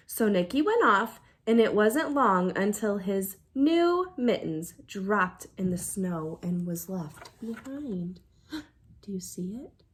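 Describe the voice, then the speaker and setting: A woman reading a children's storybook aloud like a teacher at storytime, expressive and engaging young listeners. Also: mid-range voice, takes it slowly, slightly rough. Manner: expressive, drops to hushed excited asides with audible gasps.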